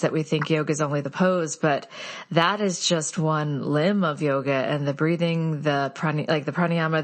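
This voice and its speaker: A woman hosting an interview as a yoga teacher, enthusiastic and quick to laugh. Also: deep voice. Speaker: host, prenatal yoga teacher.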